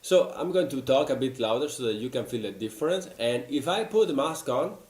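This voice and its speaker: A man's voice: medium pitch, expressive, brisk, a little mellow. A man, a company founder formally presenting a product demo. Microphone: desk microphone in front of him. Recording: roomy echo.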